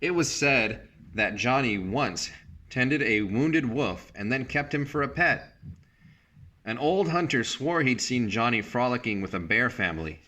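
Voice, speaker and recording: A man reading a picture book aloud like a teacher, in measured narration with brief asides about the pictures. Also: mid-range voice, bright, forceful tone.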